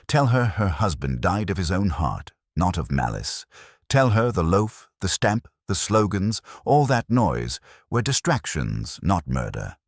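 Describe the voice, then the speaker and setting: A man reads as a pompous, brilliant detective with a hugely deep voice. He is stern and formal, handing down terse judgments like rulings in an audiobook mystery. His intonation rises and falls firmly, recorded very dry. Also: big pitch swings, a little slow, no room sound, heavily acted. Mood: stern, judging.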